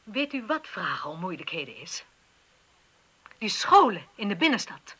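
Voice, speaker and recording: A woman's voice: medium pitch, sing-song, normal speed, sharp tone, slightly rough, full character voice. An actress playing a haughty, self-absorbed mother and government official in a Dutch radio drama, snobbish and defensive, with a Netherlands accent. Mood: indignant.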